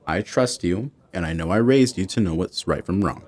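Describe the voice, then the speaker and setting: A protective, supportive middle-aged father in an audio drama. His voice is low and expressive, moving freely in pitch as he speaks naturally. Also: moderate pace. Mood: gentle, firm.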